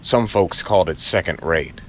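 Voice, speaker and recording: A man's voice: low voice, lively intonation, normal speed, fairly bright tone, slight background noise. A man narrating a noir crime audio drama in a brooding, dramatic way with short clipped phrases.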